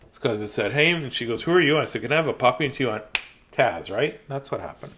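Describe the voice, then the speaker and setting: A man in his fifties reads children's bedtime picture books in an animated, playful, childlike way, with chatty asides. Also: mid-range voice, fast, a little hiss or hum. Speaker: children's storyteller. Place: small decorated room indoors.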